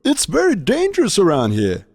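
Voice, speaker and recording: A man's voice: high-pitched, wildly swooping pitch, normal speed, exaggerated voice. A man playing a stern, protective hunter in a children's fairy tale, speaking in a theatrical, instructive way. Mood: stern concern. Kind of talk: animated fairy tale character acting.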